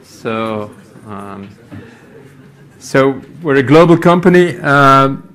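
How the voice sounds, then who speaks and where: A middle-aged man from Belgium, a native Dutch speaker with a Belgian Dutch accent, giving a conference talk in a meeting room, halting, with many fillers and restarts. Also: medium pitch, animated, a bit bright, smooth. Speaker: conference speaker, company IT executive. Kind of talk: conference presentation.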